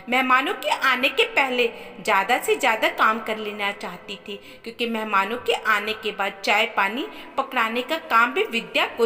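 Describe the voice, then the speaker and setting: High, bright woman storyteller with long reverb. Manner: expressive, emphatic. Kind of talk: scripted dramatic narration of family moral story.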